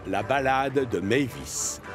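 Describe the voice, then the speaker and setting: A man narrating a children's cartoon in a measured, clearly enunciated storytelling style. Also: mid-range voice, lively intonation. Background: music and train sounds.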